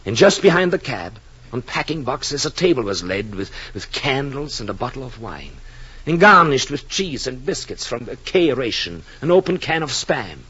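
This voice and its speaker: An actor playing an earnest, brooding European husband and expectant father who narrates his journal in solemn, literary, measured 1940s radio drama style. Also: medium pitch, sing-song, sharp tone, husky, muffled recording, full character voice. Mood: astonished wonder. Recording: old 1940s radio, music behind.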